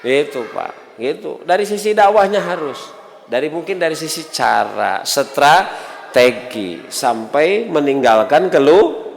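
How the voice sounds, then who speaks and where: High-voiced Sundanese male preacher, echoing hall. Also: animated, takes it slowly, vocal fry. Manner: conversational, rhetorical questions and acted-out dialogue. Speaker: Islamic preacher. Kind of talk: sermon to a congregation. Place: large mosque hall. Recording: reverberant mosque hall.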